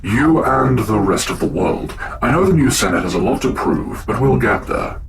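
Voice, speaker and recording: A man's voice: medium pitch, sing-song, normal speed. A man playing an imperious robot leader with a commanding, declamatory delivery in a sci-fi drama. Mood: wry, authoritative. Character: imperious, gruff male robot leader of a new government. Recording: loud background ambience noise.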